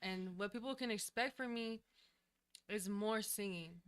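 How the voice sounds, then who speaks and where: A woman who is a new singer, answering interview questions in a studio in a soft, hesitant way, often pausing to think. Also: mid-range voice, a crowd in the background. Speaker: guest singer, new artist. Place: recording studio.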